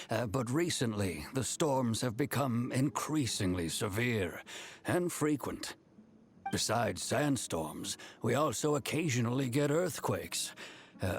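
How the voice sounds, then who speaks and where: Kindly middle-aged village elder, mid-range male voice, courteous, measured, slightly hesitant, video game acting. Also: mid-range voice.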